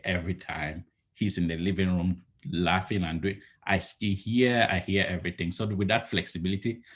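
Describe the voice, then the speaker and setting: A man in his thirties from Cameroon, giving earnest, rambling advice on a home video call and restarting his sentences often. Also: low-pitched. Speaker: entrepreneur guest. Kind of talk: casual video call interview. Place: home living room, indoors. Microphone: laptop webcam on a video call.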